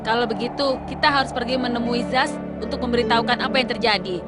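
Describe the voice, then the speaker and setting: A sincere believer, voiced by a woman in a cartoon, sharing a secret in confidence. The voice sits a little high. Loud music runs underneath. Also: fairly even intonation, fast, lightly acted. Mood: confiding.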